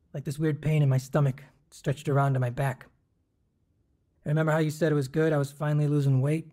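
An actor playing a dying man in his thirties in a radio drama, halting and burdened with guilt as he confesses. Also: fairly high pitch, little pitch movement, dark tone, lightly acted. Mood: grave. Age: 30s.

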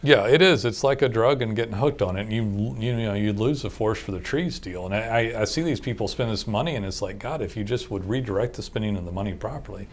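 A man's voice: lowish voice, fast, few pauses. A man in his forties co-hosting a business podcast, talking animatedly and mimicking clients in mocking voices. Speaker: podcast co-host. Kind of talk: casual business chat. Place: small studio with bookshelves, seated in armchair.

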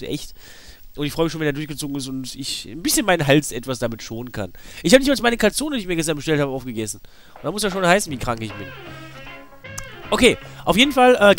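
A man's voice: fairly high pitch, a bit bright. A man with a slight cold giving rambling, informal, slangy let's play commentary. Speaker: let's player. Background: video game music.

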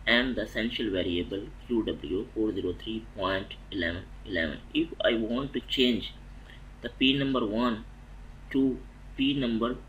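Male instructor, low-pitched, measured halting delivery over slides, faint hiss behind. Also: fairly bright tone, lo-fi sound. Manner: methodical, halting, restarts mid-phrase. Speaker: welding inspection instructor. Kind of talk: slide-based technical lecture.

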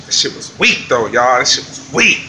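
A man, a chef filming a casual vlog in a commercial kitchen, talking in relaxed slang. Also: medium pitch, moderate pace, a little hiss or hum, rough recording. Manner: laid-back slangy hype talk. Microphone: handheld phone camera.

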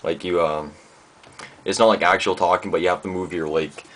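A young man with a very low voice talks through a casual home demo. He is laid-back and halting, leaning on filler words. The amateur camera mic picks up plenty of noise around him in the small room. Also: fairly even intonation, a bit bright. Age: young adult. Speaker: amateur musician demonstrating gear. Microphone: handheld camera's built-in microphone. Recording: noisy amateur camera microphone.

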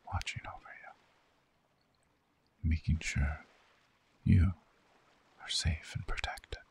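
A man voicing a soothing, seductive vampire lover in a slow, hypnotic ASMR sleep roleplay, half-whispering with a breathy, gravelly voice. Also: rumbling low, a little mellow, exaggerated voice. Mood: soothing, tender. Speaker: ASMR voice actor.

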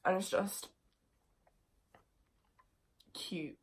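A teenage girl from England with a cold and a croaky voice, chatting casually and with a self-mocking liveliness to a phone camera in her bedroom. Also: very slow, slight background noise, poor audio. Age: teens. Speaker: vlogger. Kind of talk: casual chat. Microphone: phone camera at desk distance.

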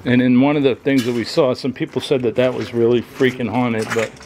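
A man's voice: medium pitch, fairly quick, noisy background. A man, a vlogger, casually narrating as he explores abandoned buildings, speaking to the camera. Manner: relaxed, talks to camera while walking.

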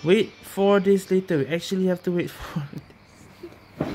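A man's voice: high-pitched, normal speed. A man giving casual commentary on a video game he is playing. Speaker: gamer recording a playthrough. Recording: loud game music under commentary. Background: loud game music.

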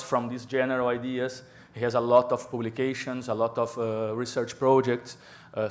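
A man acting as moderator introduces a guest from a panel table in a large lecture hall, speaking into a table microphone. His delivery stays flat and even in pitch. He hesitates often, repeating words and filling gaps with fillers. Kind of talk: formal introduction.

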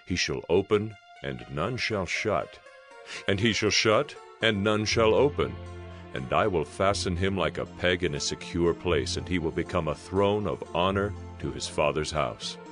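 A man's voice: sits low, lively intonation, measured pace, a bit bright, faint music behind. A man giving a solemn, declamatory dramatized narration of scripture. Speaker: audio Bible narrator.

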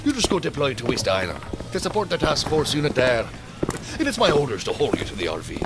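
A needling agent, wildly swooping pitch. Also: male voice, wildly swooping pitch, quick pace, very noisy. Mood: blunt, needling. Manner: teasing, blunt. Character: needling, plain-spoken male federal agent colleague. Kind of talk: audio drama acting.

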